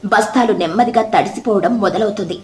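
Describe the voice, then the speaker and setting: A woman's voice: medium pitch, brisk, roomy sound, slight background noise. A woman reading scripted, dramatic moral-story narration.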